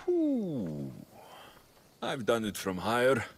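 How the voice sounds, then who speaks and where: An actor playing a confident, wry mercenary hero of ancient Greece, with a low-key, self-assured delivery in a video game. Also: male voice, huge pitch swings, takes it slowly, slightly rough, heavily acted. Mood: wry, amused. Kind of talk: video game cutscene dialogue. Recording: game music behind the voice. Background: background music.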